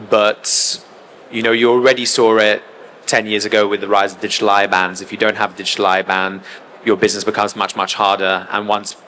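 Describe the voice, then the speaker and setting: A man speaking as a panelist in a conference debate, explaining in a measured way with frequent fillers. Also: fairly low pitch, bright, forceful tone, slight background noise. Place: conference stage.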